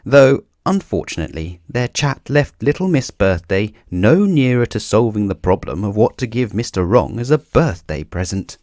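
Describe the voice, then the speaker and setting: A man reading a children's story aloud in an expressive narrating style. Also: lowish voice, normal speed, hardly any pauses, dry recording, studio quality.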